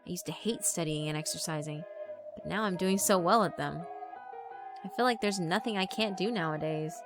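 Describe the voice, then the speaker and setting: A woman's voice: fairly low pitch, a little fast, natural voice. A woman voicing an arrogant teenage boy bully who calls himself a king, cold and boastful. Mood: bitter. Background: background music.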